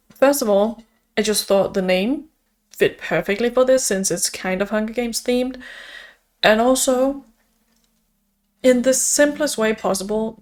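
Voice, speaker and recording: A woman's voice: a little high, darkish tone. A young woman from Denmark, a native Danish speaker, chatting casually and upbeat as a book vlogger, with frequent fillers. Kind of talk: casual chat.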